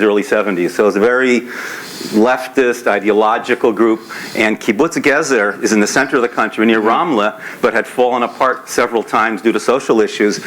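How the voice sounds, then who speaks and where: A man in his sixties from California telling his story on a conference panel in a fluent, measured way. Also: mid-range voice, fairly bright tone, rough recording. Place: conference hall.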